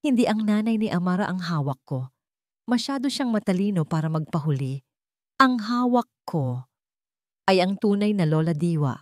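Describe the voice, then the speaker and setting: A woman's voice: lowish voice, expressive, moderate pace, no echo, clean studio sound, lightly acted. A woman voicing a cold, haughty, venomous older rich villainess in a narrated story, taunting and menacing.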